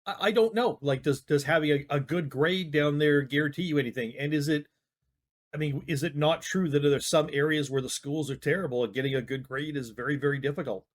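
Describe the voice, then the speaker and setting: A man's voice: on the high side, brisk. A man giving casual reaction commentary, rambling and hedging with frequent restarts. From Canada. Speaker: YouTube commentator.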